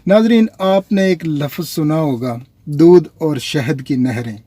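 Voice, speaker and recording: Man, casual rambling vlog talk, somewhat high-pitched, dry sound. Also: unhurried, dark tone, dry recording. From Pakistan. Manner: chatty, rambling, addresses viewers as nazreen. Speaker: vlogger.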